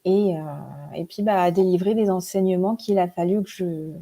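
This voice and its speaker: Chatty woman in her forties, mellow tone. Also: lowish voice, steady tone, normal speed. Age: 40s. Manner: chatty, hesitant with many fillers, laughs often. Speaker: guest, spiritual author and teacher. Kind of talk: casual interview storytelling.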